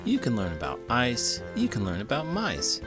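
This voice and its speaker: A man's voice: medium pitch, wildly swooping pitch, moderate pace. Guy doing a kids book read aloud, super swoopy theatrical delivery, music playing behind him. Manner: theatrical, big emotions, stretched words. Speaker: teacher and children's read-aloud host. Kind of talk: animated children's storybook read-aloud.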